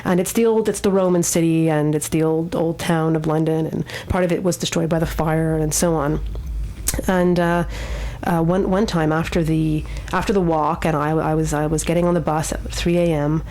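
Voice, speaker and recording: A female radio host with a slightly low voice talks casually. She rambles through her storytelling, often doubling back and repeating phrases. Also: steady tone, normal speed, mellow. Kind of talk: casual spoken anecdote on community radio.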